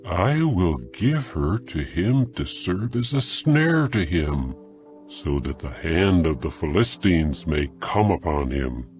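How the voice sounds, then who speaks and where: A scheming, envious monarch in a dramatized scripture reading. The voice is very deep and moves with expressive swings over a slow, deliberate pace. Music plays faintly behind it. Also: male voice. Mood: quietly scheming. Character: jealous scheming older king plotting against a rival.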